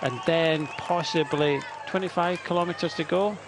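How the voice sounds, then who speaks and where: Relaxed man co-commentating a bike race. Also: fairly high pitch, normal speed, a crowd in the background, noisy background. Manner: relaxed, self-deprecating, rambling. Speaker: cycling co-commentator. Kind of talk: live race commentary chat. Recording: commentary over loud race ambience.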